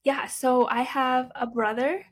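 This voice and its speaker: A woman's voice: sits high, steady tone, a little slow, husky. A nineteen-year-old woman raised in the United States, a podcast guest telling upbeat stories about her family. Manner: upbeat, chatty storytelling. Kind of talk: casual interview.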